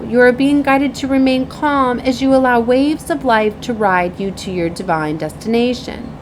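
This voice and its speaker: High-pitched woman giving a spiritual reading, noisy recording. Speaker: spiritual channeler and tarot reader. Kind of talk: channeled spiritual guidance reading. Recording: clearly noisy background.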